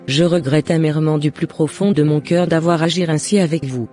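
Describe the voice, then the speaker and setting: Man voicing a high-pitched jealous neighbour woman. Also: steady tone, brisk, darkish tone, soft background music, close to an everyday voice. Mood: remorseful confession. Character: jealous, scheming woman neighbour turned remorseful.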